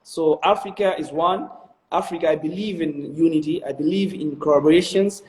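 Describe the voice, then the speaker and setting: A man's voice: a little high, normal speed, darkish tone, reverberant, slight background noise. A young man in his twenties with a Malawian accent, answering interview questions in an earnest, motivational way, with many ums and restarts. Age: 20s. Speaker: interview guest, video director.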